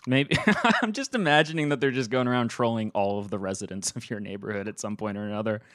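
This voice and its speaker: A man's voice: on the low side, fast, fairly bright tone, no echo, clean studio sound. A man co-hosting a podcast, reacting to a story with jokes and laughs. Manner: riffing, jokey reactions and laughter. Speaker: podcast co-host. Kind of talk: casual chat.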